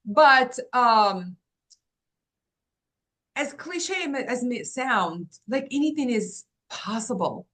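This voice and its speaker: A woman's voice: on the high side, expressive, takes it slowly, pauses often, a bit bright. A woman in her fifties from Morocco, telling her life story as an interview guest in an earnest, reflective way with many "um"s and "like"s. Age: 50s. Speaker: guest, agency founder.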